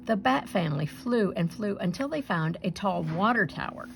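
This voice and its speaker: Female storyteller for children's picture book read-aloud. Mid-pitched, creaky edge, expressive delivery, faint music underneath. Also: normal speed, poor audio. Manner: expressive, acts out sounds and dialogue.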